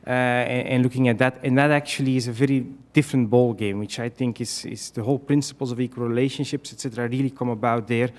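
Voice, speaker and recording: A man speaks on a conference panel in a middle register, answering audience questions. He hesitates often, restarts his sentences and fills pauses with "uh" and "um". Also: medium pitch, steady tone. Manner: hesitant, many fillers and restarts; self-deprecating.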